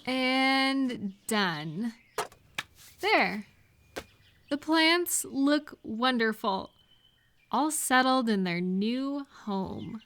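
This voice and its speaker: A woman playing a supportive, gentle friend in an intimate, casual audio roleplay, speaking in a reassuring, unhurried way to the listener. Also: high-pitched, expressive, a little hiss or hum, heavily acted. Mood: bright, encouraging.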